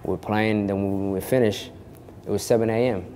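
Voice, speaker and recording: A man's voice: on the low side, steady tone, moderate pace, warm tone, long reverb tail, a little hiss or hum. A young man born in Kenya of a Somali family, telling his story in an interview with lots of restarts and "you know". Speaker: actor interviewed.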